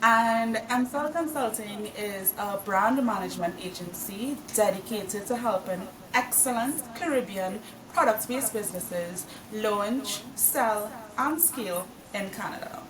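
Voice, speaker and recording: A woman from Trinidad and Tobago, a podcast guest explaining her consulting work in a measured, earnest way. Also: medium pitch. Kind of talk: casual interview. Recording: roomy, distant mic with crowd noise.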